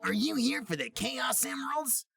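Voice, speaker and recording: Man doing Sonic, a high-pitched melodramatic cartoon hedgehog. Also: expressive, normal speed, creaky, slightly rough, heavily acted. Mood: defiant, alarmed. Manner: overwrought, shouty, melodramatic. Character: Sonic, dramatic, sulky young male cartoon hedgehog hero. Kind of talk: parody fan-fiction character reading.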